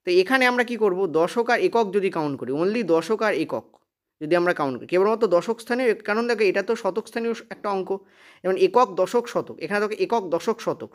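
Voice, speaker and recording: This is a man with a notably high voice. He talks quickly as he teaches, working through material step by step. He drives points home by repeating them and keeps checking that learners follow. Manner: emphatic repetition with frequent check questions. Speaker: maths teacher. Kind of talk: exam-prep lesson, explaining step by step.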